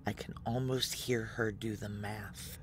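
A woman's voice: extremely low pitch, fairly even intonation, measured pace. An actress playing a desperate, defiant security woman on the run in an audio drama, with an intense, raw delivery. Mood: taut narration. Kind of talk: audio drama acting with noir first-person narration.

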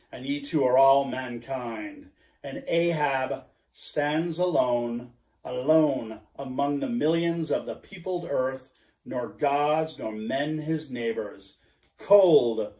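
A man's voice: medium pitch, darkish tone, close to an everyday voice. A man playing a grim, obsessed old sea captain. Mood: defiant. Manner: declamatory, archaic speech. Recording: roomy echo.

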